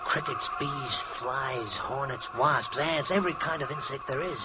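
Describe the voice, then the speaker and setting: An actor playing a professor's blunt, ominous assistant in old-time radio drama, plain-spoken and insistent. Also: male voice, medium pitch, a little slow, natural voice. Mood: foreboding. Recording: muffled, noisy vintage radio recording.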